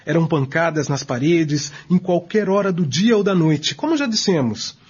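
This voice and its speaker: A man acting as an emphatic Brazilian commentator in a radio drama about spiritist history. His voice is strongly raspy, with big swings of intonation. The sound is somewhat dull. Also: on the high side, expressive, normal speed. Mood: amazed, emphatic. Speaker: radio drama narrator and discussant. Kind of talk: scripted radio drama narration in dialogue form. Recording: dull, lo-fi audio.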